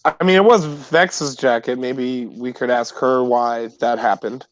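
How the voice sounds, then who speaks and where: A man's voice: mid-range voice, measured pace, no room sound, close to an everyday voice. A man playing an earnest teenage adventurer in a tabletop game, explaining himself in a hesitant, deferential way. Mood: defensive.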